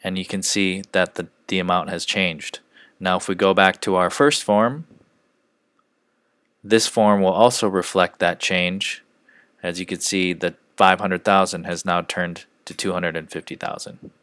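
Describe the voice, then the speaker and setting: Deep voiced guy walking through software step by step, measured, really dry close sound. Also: steady tone, smooth, dry recording. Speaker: software trainer. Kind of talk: instructional screencast narration.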